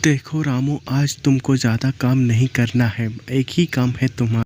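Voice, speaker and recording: A man's voice: mid-range voice, fairly even intonation, moderate pace, a little mellow, slight background noise, lightly acted. A man voicing an authoritative village landlord in a cartoon story, a protective father giving instructions. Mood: stern, instructing.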